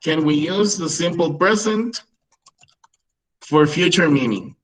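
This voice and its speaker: Slow, deliberate man in his thirties. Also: a little high, lively intonation, poor audio. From Peru. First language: Spanish. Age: 30s. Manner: explanatory, frequent "right?" checks. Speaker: webinar presenter, English teacher. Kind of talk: online teaching webinar.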